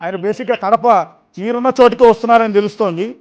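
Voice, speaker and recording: A man anchoring live election news, delivering commentary deliberately, phrase by phrase. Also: sits high.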